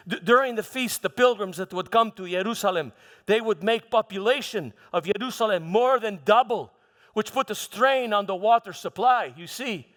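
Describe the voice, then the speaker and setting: A man playing a proud, vengeful Roman governor in a theatrical monologue, speaking emphatically with halting repetitions and dramatic pauses. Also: high-pitched, a bit bright. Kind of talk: dramatic first-person monologue in church. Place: church hall, live performance. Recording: echoey hall with background music.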